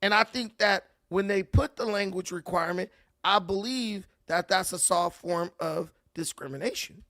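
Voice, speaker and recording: A man's voice: sits high, normal speed, sharp tone, dry recording, clean studio sound. A man hosting casual reaction commentary, ranting and repeating words as he builds his point. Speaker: commentary host.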